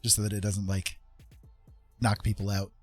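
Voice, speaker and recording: Husky guy hosting an art stream, rambly folksy chat, faint music behind him. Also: on the low side, completely flat delivery, moderate pace, darkish tone. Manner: rambling, folksy, welcoming patter. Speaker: livestream host and artist. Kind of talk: casual chat while streaming digital art.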